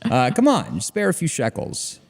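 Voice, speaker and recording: A man's voice: on the high side, huge pitch swings, a little slow, vocal fry. A man chatting casually as a talk show host, in a reverberant recording. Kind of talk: casual chat.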